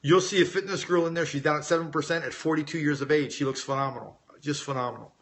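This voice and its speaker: Bright-voiced American man chatting live. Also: fairly high pitch, fast talker. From United States, grew up in Las Vegas. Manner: informal, conversational, addresses viewers directly. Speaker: weight-loss coach hosting a live Q&A. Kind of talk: casual live-stream chat, reading out viewer questions and answering.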